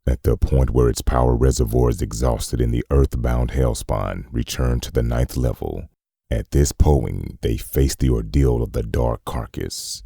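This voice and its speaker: A man performing a grave, clinical narrator who reads a demon-hunting manual in a measured, formal, gravelly voice, as scripted comic-dub narration. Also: very deep voice, steady tone, warm tone, no room sound, clean studio sound, heavily acted. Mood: cold, instructive.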